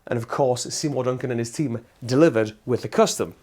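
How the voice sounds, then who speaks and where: A man's voice: medium pitch, fairly quick, darkish tone. Chatty man with a worn-out voice. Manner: enthusiastic, chatty, laughs often. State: losing his voice. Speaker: guitar channel host. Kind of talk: casual demo talk to camera.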